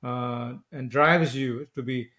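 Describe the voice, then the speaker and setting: Man from Nepal, podcast host, mid-pitched, rambling, repeats words. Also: fairly even intonation, slow pace, sharp tone. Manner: rambling, repeats words while forming questions. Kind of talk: interview questions.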